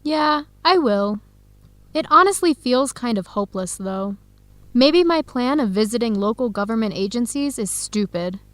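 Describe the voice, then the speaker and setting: An actress plays a hopeful young woman in an educational radio drama. Her voice sits a little high, and she delivers each line clearly and deliberately. The recording is close and dry. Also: expressive, dry recording, close to an everyday voice. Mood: discouraged. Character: young Ethiopian-American woman exploring Ethiopia, earnest and hopeful.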